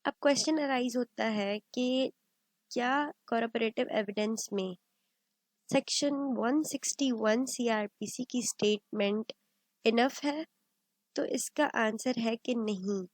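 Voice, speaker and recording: High-voiced woman lecturing slowly. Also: no echo, rough recording. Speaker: law lecturer. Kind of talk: explanatory lecture, Urdu mixed with English legal terms.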